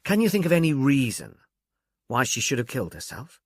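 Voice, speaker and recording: A businesslike male police inspector, voiced by a male narrator, putting questions in a probing, official way. The delivery is dispassionate in wording yet varied in pitch, recorded dry as audiobook dialogue. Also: huge pitch swings, fairly quick, breathy edge, no room sound.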